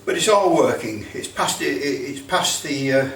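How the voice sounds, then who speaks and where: British male, casual demonstrator, halting thinking-aloud delivery, echoey room, faint background noise. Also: mid-range voice, animated, a bit bright. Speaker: presenter demonstrating electrical testing. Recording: roomy, distant mic with background noise.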